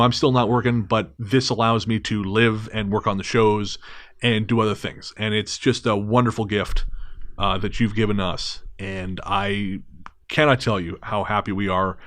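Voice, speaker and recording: A man's voice: lowish voice, fairly bright tone. A man of thirty-six hosting a podcast in a casual, chatty style, earnest with a wry joking streak. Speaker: podcast host.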